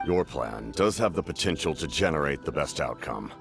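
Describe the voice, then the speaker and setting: An imposing commander with an extremely deep voice. He is measured, formal and aloof, in game dialogue with faint music underneath. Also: lively intonation, vocal fry, exaggerated voice. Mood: grudging, stern. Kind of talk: video game voice acting.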